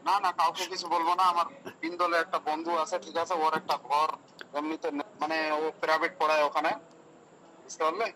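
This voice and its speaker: A man, heard through a phone loudspeaker with faint noise. His voice sits a little high, and he talks quickly in an earnest, questioning way. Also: flat delivery, poor audio. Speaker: friend receiving a prank call. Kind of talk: casual phone conversation.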